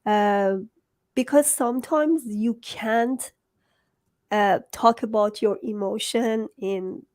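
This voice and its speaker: Slow, higher-pitched Persian-accented woman. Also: a little mellow, no room sound. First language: Farsi. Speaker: host, book coach. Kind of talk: conversational interview.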